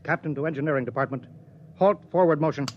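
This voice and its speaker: A man's voice: fairly high pitch, quick pace, close to an everyday voice. A man playing a suspicious, commanding starship captain in the 1950s radio acting style. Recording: dull, faintly noisy 1950s radio recording.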